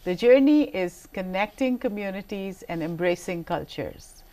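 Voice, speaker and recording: A woman from Pakistan hosts an interview show. She asks her questions in a formal, deliberate way. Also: medium pitch, lively intonation, warm tone. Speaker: talk show host. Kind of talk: hosting an interview.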